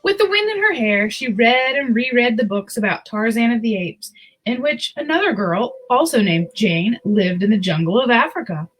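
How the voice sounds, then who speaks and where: A woman's voice: fairly high pitch, animated, moderate pace, a little hiss or hum, low-quality recording. A woman hosting a picture book read-aloud, telling the story expressively with casual asides.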